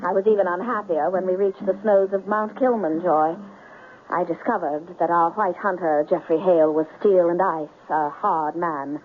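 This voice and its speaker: An actress playing an earnest, refined romantic heroine in a radio drama, speaking with melodramatic feeling. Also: female voice, lowish voice, fairly even intonation, normal speed, slight background noise, natural voice. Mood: wistful, grave. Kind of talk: radio drama acting and first-person narration. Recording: phone-like old radio broadcast.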